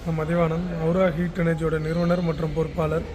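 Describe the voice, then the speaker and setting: Higher-pitched Tamil Nadu man over loud noise. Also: flat delivery, brisk. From Chennai, India. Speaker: business founder vlogging. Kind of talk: casual travel vlog talk. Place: busy international airport. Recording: noisy public space, clear background noise.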